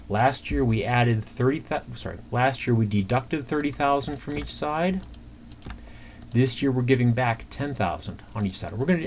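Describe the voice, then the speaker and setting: A man's voice: mid-range voice, a little mellow. Man teaching accounting over noisy typing. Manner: conversational, halting, talks through figures step by step. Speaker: accounting instructor. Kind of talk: informal screencast lesson, explaining worked calculations. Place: indoors at a computer. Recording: clear background noise, keyboard typing.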